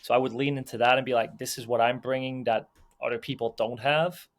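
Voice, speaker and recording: A man's voice: mid-range voice, fairly even intonation, brisk. A young man from Belgium, a film producer and sales agent, talking loosely in a podcast interview, full of fillers, restarts and "you know what I mean".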